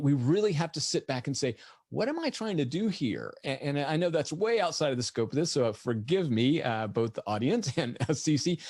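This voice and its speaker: A middle-aged man with a slightly raspy, lecture-tired voice, giving an energetic webinar presentation full of rhetorical questions. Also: mid-range voice, dry recording, studio quality. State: voice tired from lecturing all morning. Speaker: veterinarian presenter.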